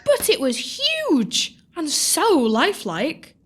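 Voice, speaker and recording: Pantomime heroine, female actor: young servant girl, sweet, eager, extremely high-pitched, animated. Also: takes it slowly, exaggerated voice. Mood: excited. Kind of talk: audio pantomime acting.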